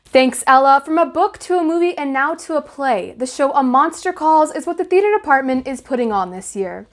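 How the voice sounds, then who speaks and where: A young woman with a notably high voice narrates a scripted news report. She speaks as a student reporter introducing and linking a story. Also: expressive, brisk.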